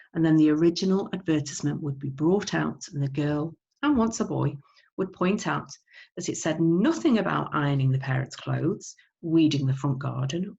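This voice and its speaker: A woman teacher giving an expressive audiobook-style reading of a children's novel. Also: low voice, gravelly, highs cut off.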